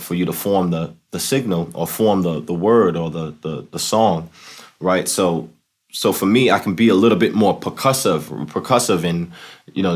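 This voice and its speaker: A man in his thirties hosting a casual instructional show, talking to camera in a halting way as he searches for words. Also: low-pitched. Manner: halting, searching for words, often saying "you know". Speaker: host of a keyboard and talk box tutorial show. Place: small plain indoor room, home studio setup.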